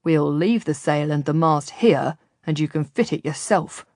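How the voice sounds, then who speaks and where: A woman's voice: low-pitched, moderate pace, darkish tone, no room sound, studio quality. A woman voicing a stern, curt male fort captain who gives blunt orders, in an audiobook story. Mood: defiant. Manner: commanding, blunt.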